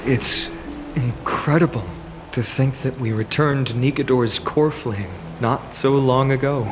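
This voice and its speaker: A man's voice: medium pitch, unhurried, close to an everyday voice. Man acting a composed young game hero, music behind. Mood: composed, appreciative. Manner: calm, polite, composed. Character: earnest, level-headed young male hero. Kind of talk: scripted fantasy game acting.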